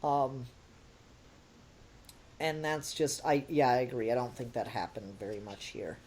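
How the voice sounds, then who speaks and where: A man's voice: mid-range voice, a little slow, darkish tone, a little hiss or hum. A man co-hosting a podcast, casually talking through a critique in an analytical, questioning way. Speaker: podcast co-host reviewing audio dramas.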